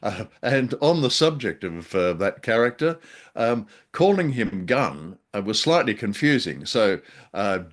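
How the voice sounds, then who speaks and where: A man's voice: lowish voice, very animated, measured pace. A man co-hosting a podcast, chatting casually about an old radio drama, hesitant with many ums and a dry, self-mocking humor. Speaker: podcast co-host.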